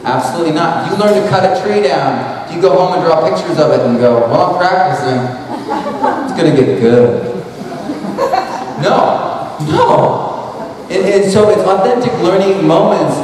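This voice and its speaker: A man's voice: high voice, measured pace, long pauses, crowd noise. A man in his thirties giving a casual, rambling conference talk on a handheld mic, full of "like" and restarts. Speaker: speaker. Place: large hall at an expo. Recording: echoey hall recorded from far off.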